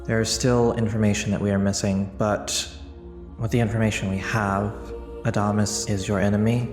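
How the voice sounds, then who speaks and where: A man plays a grave, judging deity of a tabletop game with a low, very even voice. He admonishes in formal, measured phrases. The sound is roomy, with faint music behind. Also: natural voice. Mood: grave, admonishing.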